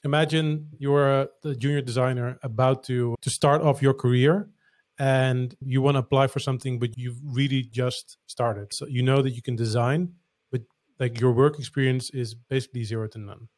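A man's voice: medium pitch, steady tone, normal speed, darkish tone, dry recording, clean studio sound. A Dutch man, a native Dutch speaker, hosting a casual interview and asking questions thoughtfully. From Netherlands. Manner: thoughtful, searching for words. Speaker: podcast host.

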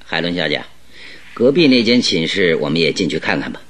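一位男演员在广播剧中饰演自信、善于追问的侦探，说话从容推敲，带有戏剧腔。. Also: male voice, deep voice, sing-song, a bit bright, heavily acted. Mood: cautious. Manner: inquisitive, deliberate, theatrical. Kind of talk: Chinese radio drama acting.

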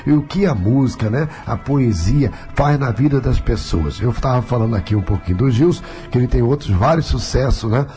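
A man's voice: mid-range voice, expressive, fairly quick, hardly any pauses, dark tone, soft background music. A man with a Carioca accent hosting a radio talk show as a pastor, talkative and full of tag questions. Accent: Carioca Brazilian Portuguese. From Rio de Janeiro, Brazil.

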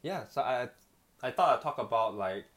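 A man's voice: mid-range voice, animated, moderate pace, fairly bright tone. A young man from Malaysia talking casually about his choices, rambling with many fillers and restarts. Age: young adult. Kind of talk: casual conversation.

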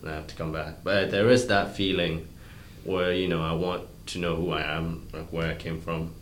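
A man talking in a relaxed, thoughtful way, often trailing off. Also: low voice, moderate pace, echoey room, a little hiss or hum. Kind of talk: casual chat.

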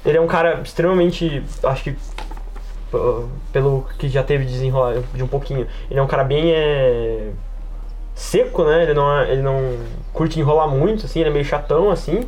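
A man's voice: a little high, normal speed, darkish tone, slight background noise. A man chatting casually on an RPG podcast, informal and hesitant, often circling back to rephrase himself. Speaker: RPG podcast participant and player. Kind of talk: casual chat.

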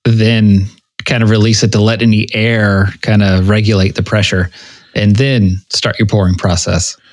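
A man's voice: lowish voice, level tone, moderate pace, no room sound. A man co-hosting a podcast, bantering casually and teasing in a joking way. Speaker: podcast co-host. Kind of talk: casual banter among friends.

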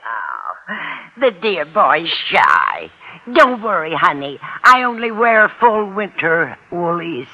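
Gushy brassy old gal, man-crazy, slow n swoopy, 50s radio sitcom, lots of noise. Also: lowish voice, wildly swooping pitch, muffled recording, exaggerated voice. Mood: flirtatious. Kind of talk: 1950s radio sitcom acting. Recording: noisy old radio broadcast.

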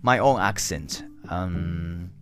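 A man whose first language is Japanese, speaking English with a Japanese accent, talking slowly and thoughtfully to camera with many restarts and fillers. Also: sits low, over music. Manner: slow and thoughtful. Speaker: English learner. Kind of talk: reflective solo talk.

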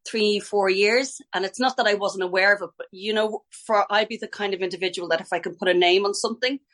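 A woman's voice: mid-range voice, steady tone, fairly quick, a bit bright. A middle-aged Irish woman, a ballet teacher, chatting in a podcast interview, talking freely, restarting sentences and laughing easily. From Ireland.

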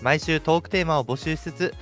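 A man's voice: fairly high pitch, fairly quick, fairly bright tone, soft background music. A man co-hosting a live radio show with casual banter and teasing quips.

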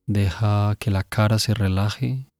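Male meditation guide, lowish voice, flat even delivery, calm and gentle with long pauses, soft music underneath. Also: no echo, clean studio sound.

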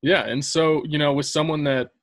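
A man's voice: fairly high pitch, steady tone, normal speed, no echo. A man co-hosting a casual podcast, asking questions hesitantly with lots of fillers. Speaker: podcast co-host.

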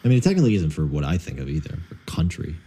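A man with a very deep, mellow voice trades thoughts on music in casual conversation. He stumbles and restarts before settling on what he means. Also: sing-song, very fast. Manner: hesitant restarts, lots of short agreement. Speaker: podcast co-host.

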